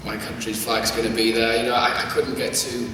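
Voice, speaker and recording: A young man with a Welsh accent, a singer answering press conference questions in a quick, upbeat and earnest way. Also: mid-range voice, level tone, background noise. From Wales. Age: young adult. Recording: echoey hall, far from the mic.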